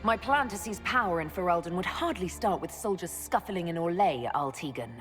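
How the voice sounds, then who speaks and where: A woman's voice: fairly low pitch, animated, a little fast, natural voice. An actress playing a resolute, wry female inquisition leader in a fantasy video game. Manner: decisive, wry. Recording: hall reverb with music behind.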